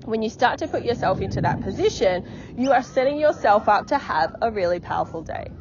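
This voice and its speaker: A woman's voice: on the high side, lively intonation, a little fast, a little hiss or hum. Encouraging woman coach, slightly muffled recording. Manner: encouraging, repetitive, frequent "okay?" check-ins. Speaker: life coach. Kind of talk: motivational podcast monologue.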